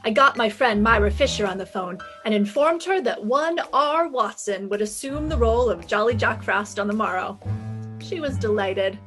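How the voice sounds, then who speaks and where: A woman's voice: medium pitch, animated, moderate pace, a bit bright, soft background music, lightly acted. An actress playing a witty, flirtatious, self-assured female private eye in a 1950s-style radio detective play, with breezy, wisecracking delivery. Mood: wry narrating. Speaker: lead actress and first-person narrator.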